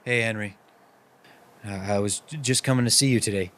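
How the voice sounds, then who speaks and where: A man playing a halting, chuckling rival tow driver who turns creepy, in an amateur radio mystery. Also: on the low side, a bit bright, close to an everyday voice. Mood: guarded. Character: slow-talking rival tow driver Frank, turning creepily entranced.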